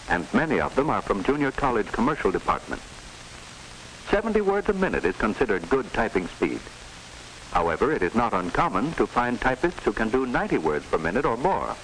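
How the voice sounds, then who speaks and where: A man's voice: mid-range voice, very animated, fairly quick, fairly bright tone, rough recording. An American man reading scripted narration for a 1940s educational film. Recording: hissy 1940s film soundtrack.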